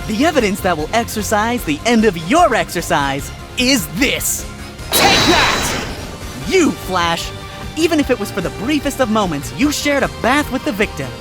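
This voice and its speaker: A man's voice: sits high, very animated, moderate pace, music in the background, pitched above the performer's own voice. A man voicing a cocky, sly male fox defense attorney with theatrical courtroom flourishes in a fan story reading. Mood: smug.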